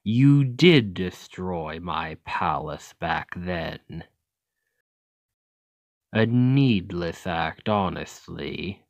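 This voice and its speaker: A man playing a smug, calculating demon king in an ASMR roleplay, talking in a deliberate, condescending and persuasive way to the listener. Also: sits low, lively intonation, no room sound, full character voice. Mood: smug, amused. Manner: slow, deliberate, condescending, taunting. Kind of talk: ASMR roleplay, scripted acting addressed to listener.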